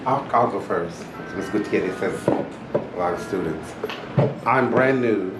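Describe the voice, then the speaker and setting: Low-voiced man, French teacher. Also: a little slow, heavy background noise. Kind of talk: open-house classroom talk. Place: school classroom. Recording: echoey classroom, music behind.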